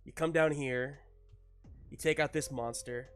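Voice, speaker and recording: A man running a tabletop roleplaying game, improvising narration and characters in a halting, searching way. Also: mid-range voice, steady tone, fairly bright tone, smooth. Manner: halting, searching delivery with repeated words. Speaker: game master. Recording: music running behind the voice.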